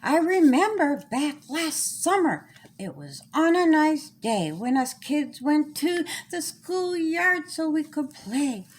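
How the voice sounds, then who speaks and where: Slow, high-voiced woman reading a children's story. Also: very animated. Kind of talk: children's storybook read-aloud.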